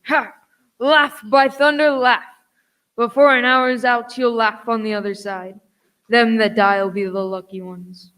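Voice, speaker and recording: Girl doing old pirate boss guy for a radio play, sly and bossy, unhurried, kinda high voice, very dry sound. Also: fairly even intonation, fairly bright tone, dry recording. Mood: defiant. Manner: piratical, theatrical phrasing. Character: commanding, sly old male pirate leader John. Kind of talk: stage-style radio play acting.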